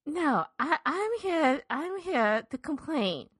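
A woman's voice: high voice, very animated, slow pace, dry recording, clean studio sound, exaggerated voice. A woman voicing an indignant old woman parishioner in an improvised comedy sketch, stammering, flustered and repeating herself.

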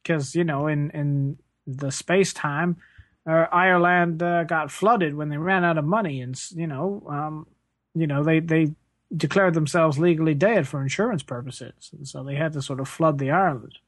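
Someone putting on a whiny working class space plumber, deadpan n rambly, comedy interview, dry sound. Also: medium pitch, moderate pace, dry recording, close to an everyday voice. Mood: matter-of-fact. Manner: plaintive, rambling, hesitant deadpan. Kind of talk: improvised mock interview.

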